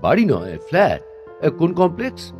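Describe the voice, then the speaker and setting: A man's voice: fairly high pitch, wildly swooping pitch, moderate pace, a little mellow. A man acting a proud, teasing, somewhat prickly male stage performer in a theatrical audio drama, full of wry questions. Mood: curious, playful. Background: instrumental background music.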